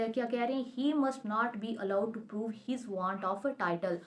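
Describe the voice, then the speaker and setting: A woman explaining law as a teacher, mixing in English terms and reading quoted passages slowly and deliberately. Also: a little high. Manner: switches between Urdu-Hindi and English terms, slow deliberate reading of quotations.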